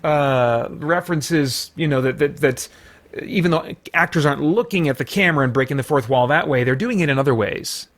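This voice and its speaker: Guy doing an off the cuff movie review, voice a bit on the high side, rambly and emphatic, keeps restarting sentences. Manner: rambling, emphatic, halting with repeated false starts. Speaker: podcast host and film reviewer. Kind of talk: unscripted movie review commentary.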